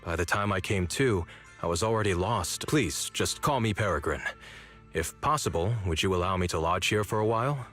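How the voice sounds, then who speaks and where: A polite wandering man with no memory speaks in a low, formal voice. His manner is courteous and a little old-fashioned, like a game traveler. Faint music plays behind. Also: lively intonation, moderate pace, a bit bright. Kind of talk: video game voice acting.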